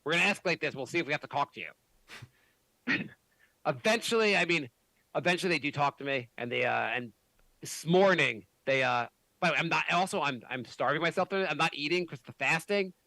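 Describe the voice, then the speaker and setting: A man hosting a podcast, chatting casually, animated and swearing often with many restarts. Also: fairly high pitch, fairly quick, dry recording, rough recording. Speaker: podcast host. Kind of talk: casual chat.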